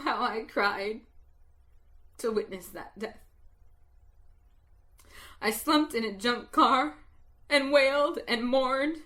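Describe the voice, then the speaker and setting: A woman narrates a story in an unhurried, deliberate reading. Her voice is bright and forward, and her telling is expressive, swelling into fervent emotion. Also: fairly high pitch. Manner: slow, expressive, building to exultant emotion.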